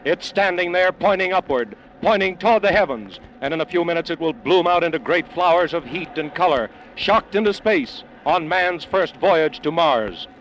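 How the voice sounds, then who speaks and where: A man playing an awed, solemn American radio news reporter in a vintage radio drama, dramatic and pausing for effect, on an old noisy recording. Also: fairly high pitch, lively intonation, dull audio, close to an everyday voice. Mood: solemn awe. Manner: dramatic, hushed pauses, repeats words for effect. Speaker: field news correspondent. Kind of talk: 1940s-50s radio drama live news commentary.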